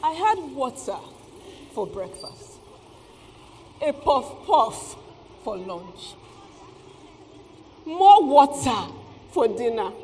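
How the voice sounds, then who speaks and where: A woman's voice: high voice, a bit bright, exaggerated voice. A woman playing a bitter, struggling market seamstress and mother, emphatic and sarcastic in a stage play. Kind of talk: theatrical stage play acting. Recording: echoey stage hall with background noise.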